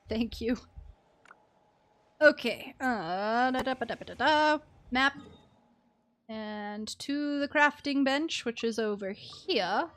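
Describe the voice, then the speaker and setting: A young woman live-streaming a game, chatting casually with an expressive, playful manner. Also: a little high, slow pace, long pauses. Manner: expressive, playful, exclaims and chants little words.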